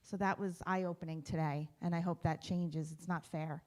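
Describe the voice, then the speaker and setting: A woman's voice: fairly low pitch, steady tone, normal speed, a little mellow. A woman in her forties, a lawyer and school board president, speaking earnestly to a panel. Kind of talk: casual remarks to a panel.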